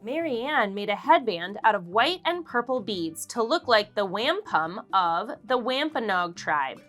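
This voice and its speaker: Bright, animated woman reading a children's story. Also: mid-range voice, soft background music. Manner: expressive, deliberate, acts out dialogue. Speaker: children's book narrator. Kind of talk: read-aloud storytelling for kids.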